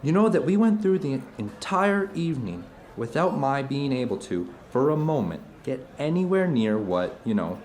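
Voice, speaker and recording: An actor playing an intense, animated friend at dinner, telling stories in an emphatic, rambling, philosophical way in an audio drama. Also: male voice, mid-range voice, natural voice. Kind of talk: conversational audio drama dialogue.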